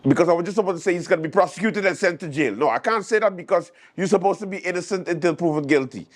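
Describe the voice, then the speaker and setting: A man's voice: high voice, very fast. A man with a Caribbean accent hosting a late-night show, giving casual news commentary and acting out quotes in a storytelling way.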